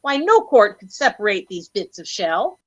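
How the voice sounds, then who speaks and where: An actress playing a shrewd, assertive young wife in an old radio comedy, brisk, insistent and skeptical. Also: female voice, medium pitch, sing-song, no room sound. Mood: challenging. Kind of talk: 1940s radio comedy acting. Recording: dull old radio recording.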